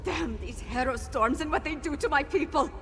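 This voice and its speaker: A woman's voice: high voice, moderate pace, a bit bright, a little hiss or hum. An actress playing a fierce, determined young noblewoman rebel in a fantasy video game, impassioned and earnest. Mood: angry, urgent. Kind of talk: dramatic scripted video game dialogue. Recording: long reverb, game music behind.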